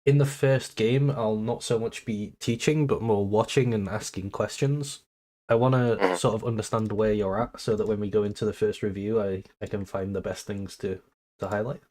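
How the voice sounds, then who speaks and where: A man's voice: lowish voice, fairly even intonation, moderate pace, warm tone. Slightly nasal British man. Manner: methodical, explains step by step. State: a little sick, nasal. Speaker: coach. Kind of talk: casual coaching call.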